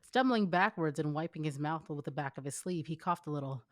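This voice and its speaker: A woman's voice: low-pitched, fairly quick, no echo, studio quality. A young woman reading fan fiction aloud as informal narration, with a casual, wry manner. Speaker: co-host, fan fiction reader. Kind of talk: informal story narration with banter.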